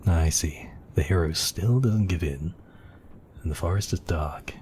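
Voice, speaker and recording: A man playing a seductive, possessive vampire count in an ASMR roleplay, speaking slowly and teasingly in a husky, breathy voice with whispered phrases. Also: deep voice, a little mellow, a little hiss or hum. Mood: intimate, musing. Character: seductive, possessive male vampire count toying with his captive.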